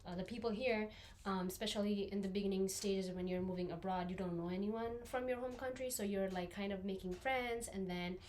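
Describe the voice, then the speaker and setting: A young woman from Nepal, speaking English, co-hosts a relaxed podcast conversation. Her talk is loose and chatty, filled with "like" and "you know," and her pitch sits in an ordinary middle range. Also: fairly quick, hardly any pauses, a little hiss or hum. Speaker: podcast co-host. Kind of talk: casual podcast chat.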